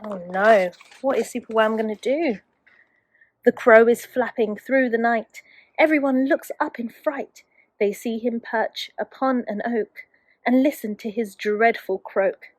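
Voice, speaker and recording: Unhurried, higher-pitched woman storyteller. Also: no echo. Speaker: teacher reading a children's book. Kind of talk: expressive rhyming storybook narration for young children.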